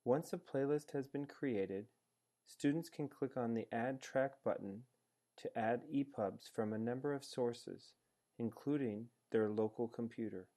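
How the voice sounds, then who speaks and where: A man reading a scripted software tutorial in a measured, explanatory manner as a voice-over. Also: mid-range voice. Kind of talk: scripted instructional narration. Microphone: screencast voice-over.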